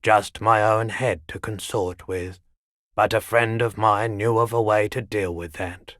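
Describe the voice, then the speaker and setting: Slow-talking, weary pawnshop keeper. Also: male voice, on the low side, fairly even intonation, fairly bright tone, no room sound, studio quality. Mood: subdued, confiding. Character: haggard, low-key pawnshop keeper, weary and indebted. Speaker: shopkeeper. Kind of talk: video game character dialogue.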